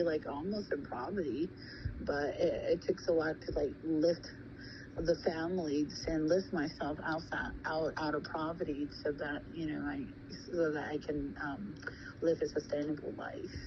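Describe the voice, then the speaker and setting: A woman in her thirties, born in Thailand and raised in California, answering interview questions slowly, with frequent pauses and ums. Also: low-pitched. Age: 30s. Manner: hesitant, many pauses and ums. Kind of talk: casual recorded interview. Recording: muffled with steady background noise.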